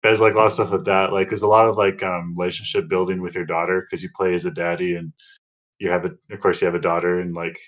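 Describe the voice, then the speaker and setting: A man's voice: sits low, little pitch movement, fast, a bit bright, muffled recording. A man hosting a casual podcast chat in a loose, rambling way. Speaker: podcast host.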